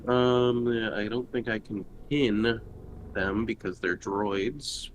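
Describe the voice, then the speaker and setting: A man's voice: lowish voice, steady tone, takes it slowly, slight background noise, rough recording. A man chatting casually as a tabletop game player, hesitantly thinking aloud as he decides. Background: background music.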